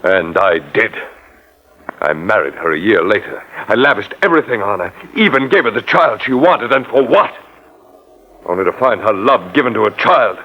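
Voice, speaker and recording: Husky, low-voiced mad killer doctor. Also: male voice, expressive, normal speed. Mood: brooding loathing. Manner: menacing, melodramatic, theatrical. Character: mad, murderous doctor, a bitter jealous husband. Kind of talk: 1940s radio acting. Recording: muffled vintage 1940s radio recording.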